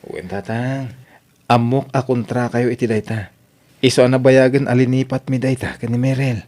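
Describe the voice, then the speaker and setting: A man's voice: mid-range voice, level tone, moderate pace, darkish tone, close to an everyday voice. An actor playing a dutiful grown son, a young working man, in an audio drama with an Ilocano accent. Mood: respectful.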